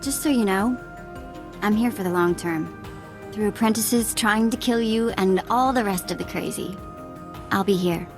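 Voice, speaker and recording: A woman's voice: medium pitch, normal speed, close to an everyday voice. An actress playing a cheeky, loyal young female sidekick in a video game, casual and teasing in manner. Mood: affectionate, teasing. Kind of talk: video game cutscene acting. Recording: loud game music under dialogue. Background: game score.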